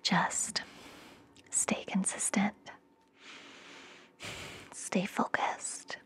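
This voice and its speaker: A young woman whispering gently and reassuringly in a soothing, breathy ASMR style, close to the microphone. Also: very slow. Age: young adult. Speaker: ASMR podcast host. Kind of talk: ASMR, soothing guided relaxation and affirmations. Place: indoor room, close up. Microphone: fluffy-covered microphone very close to the mouth.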